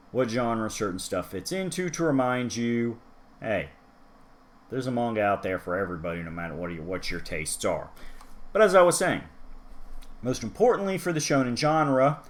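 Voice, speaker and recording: A man's voice: mid-range voice, sing-song, moderate pace, pauses often. A man in a middle register talks through a manga review in a loose, rambling way. He drifts into side remarks to the viewer and circles back to his point. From United States. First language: English. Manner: chatty and rambling, with asides to the viewer. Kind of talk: casual solo review talk.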